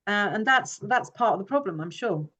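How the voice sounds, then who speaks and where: A woman's voice: mid-range voice, animated, moderate pace, pushed and bright. A woman who grew up in Hong Kong, giving an earnest forum talk with frequent fillers and restarts. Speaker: forum speaker. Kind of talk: informal presentation.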